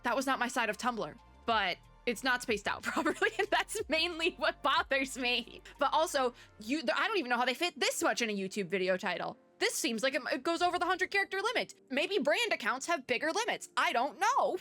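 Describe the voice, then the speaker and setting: A woman giving casual, excited reaction commentary in a chatty, rambling and expressive way. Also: high-pitched, fairly quick, faint music behind. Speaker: reaction video host.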